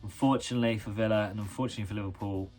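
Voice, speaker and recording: A man in his thirties from England, a guest giving football predictions in a measured, slightly hesitant way. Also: fairly low pitch, fairly even intonation, darkish tone, soft background music.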